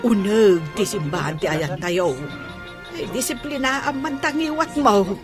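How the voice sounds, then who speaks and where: A woman's voice: mid-range voice, measured pace, music in the background, close to an everyday voice. A woman with an Ilocano accent playing an older mother, fretful and scolding, with sighing interjections in a radio drama. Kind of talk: Filipino radio drama acting.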